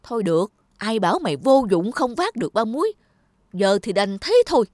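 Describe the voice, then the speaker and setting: A woman voicing a greedy, stingy old male landlord in a cartoon, gruff and bossy. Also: on the high side, very animated, brisk, noisy background. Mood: scolding. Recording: studio voice with loud music.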